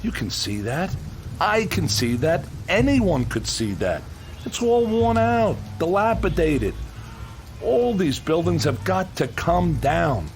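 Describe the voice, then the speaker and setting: Gruff kindly contractor, American accent, animated pitch, colloquial, earnest, insistent, radio drama, faint music behind. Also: male voice, slow, full character voice. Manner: colloquial, ungrammatical working-man speech, earnest and insistent. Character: gruff working-class demolition contractor, blunt but kind-hearted.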